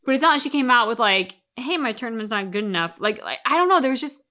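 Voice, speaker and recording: A woman's voice: on the high side, animated, fast, pushed and bright. A woman co-hosting a reaction channel, talking through her thoughts casually and emphatically, with plenty of colloquial turns of phrase.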